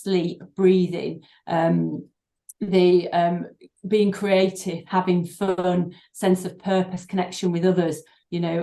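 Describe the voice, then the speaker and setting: Low-voiced woman in an echoey room. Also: gravelly, dark tone. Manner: thoughtful, halting, many fillers and restarts. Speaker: guest doctor and breathing therapist. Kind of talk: podcast interview.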